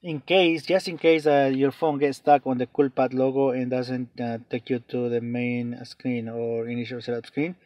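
A man explaining a phone reset step by step off camera, halting with many fillers, in non-native English phrasing. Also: mid-range voice, flat delivery, a little mellow, no echo. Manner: hesitant, many fillers and restarts. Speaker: tech tutorial channel host. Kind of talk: off-camera phone tutorial voice-over.

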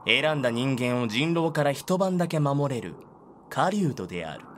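Expressive male drama narrator. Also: mid-range voice, normal speed, slight background noise. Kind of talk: scripted audio drama narration.

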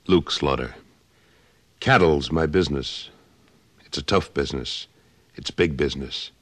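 An actor playing a tough, steady cattleman and war veteran in an old-time radio western, terse and firm. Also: a bass voice, unhurried, full character voice. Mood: proud, hard.

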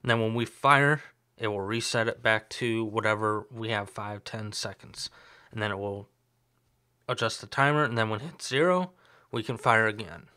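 A man's voice: fairly low pitch, normal speed, clean tone. Man with a slight sore throat explaining. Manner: relaxed, explanatory, thinks aloud with fillers. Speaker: tutorial host. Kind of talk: screen-recorded coding tutorial.

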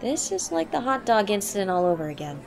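Woman as a lively, sarcastic teen girl. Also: mid-range voice, quick pace, creaky, a crowd in the background, low-quality recording. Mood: wry. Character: sarcastic, spirited teenage girl. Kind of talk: game fandub acting. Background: guitar music.

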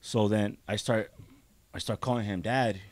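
A man's voice: fairly low pitch, fairly even intonation, normal speed, no room sound. Bearded man telling his story, dry mic. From raised in Juarez, Mexico and Azusa. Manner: rambling, repeats "you know". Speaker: podcast guest. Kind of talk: casual storytelling. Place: small podcast studio. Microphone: studio desk mic.

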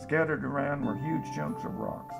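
An actor playing a folksy, plain-spoken, hopeful scavenger in a radio drama about survivors of a disaster. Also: male voice, on the high side, normal speed, gravelly, music in the background. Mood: reflective, matter-of-fact. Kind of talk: radio drama, interview monologue.

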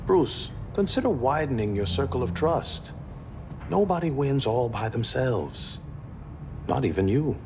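A fatherly adviser for a cinematic game. He speaks slowly with expressive rises and falls, measured and admonishing, over noticeable noise. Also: mid-range voice. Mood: gently admonishing. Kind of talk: cinematic video game acting. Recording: clear background noise.

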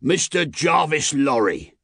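A man voicing a rough, insistent horseback messenger in an audiobook, calling out in a hoarse voice. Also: medium pitch, sing-song, normal speed, a bit bright, no echo, voice pushed up, full character voice. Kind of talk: dramatised audiobook character.

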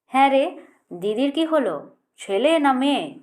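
A woman's voice: high-pitched, very animated, unhurried, pitched above the performer's own voice. A woman voicing a chatty, over-familiar middle-aged auntie in a story, gushing and nosy.

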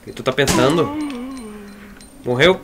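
A man's voice: medium pitch, measured pace, noisy background. A man with a Brazilian accent giving casual, slangy let's play commentary as he plays. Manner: informal, slangy. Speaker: gaming YouTuber.

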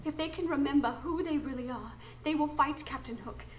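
Lady acting a burdened earnest woman in a radio play, high pitched, protesting, bit of live noise behind. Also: a little fast, lightly acted. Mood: impassioned. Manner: impassioned, protesting. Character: Wendy Darling, earnest, burdened, defiant grown woman.

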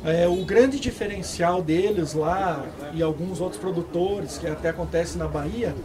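A man talking casually at an outdoor fair, reeling off numbers quickly. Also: high-pitched. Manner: quick talk full of numbers. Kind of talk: casual talk at a farm fair. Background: crowd chatter.

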